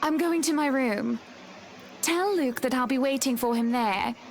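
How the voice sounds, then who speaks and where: A woman's voice: high voice, unhurried, slight background noise. A woman playing a proud, wary young wife in a visual novel, cool and commanding in her scripted delivery. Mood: cool, indignant.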